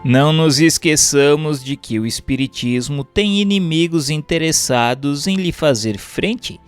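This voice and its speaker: A Brazilian man reading a written text aloud as a formal, measured, expressive narration. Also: a little high. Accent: Brazilian Portuguese. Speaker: reader of a Spiritist text. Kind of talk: scripted audiobook-style narration. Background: background music.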